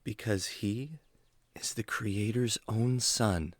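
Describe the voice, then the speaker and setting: A man playing a kindly, patient adventurer who explains things gently and earnestly to his animal buddy in a children's radio drama. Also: fairly low pitch, slow, dry recording, clean studio sound. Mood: solemn, earnest.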